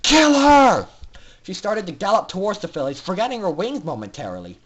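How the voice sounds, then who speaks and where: A man's voice: high-pitched, huge pitch swings, quick pace. A man narrating fan fiction in a theatrical, intense style, as a dramatic story reader.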